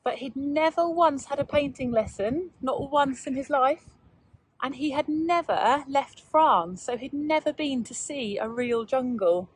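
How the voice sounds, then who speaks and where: A woman teacher reading aloud and chatting to young pupils in a measured, child-directed way. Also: sits high, expressive, dry recording, poor audio. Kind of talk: children's read-aloud and informal lesson talk.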